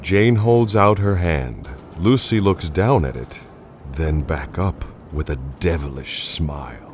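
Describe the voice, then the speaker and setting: A man's voice: low-pitched, expressive, takes it slowly, slight background noise. A man narrating a supernatural radio play as a grave, dramatic storyteller. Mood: grave, suspenseful. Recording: voice over clear music.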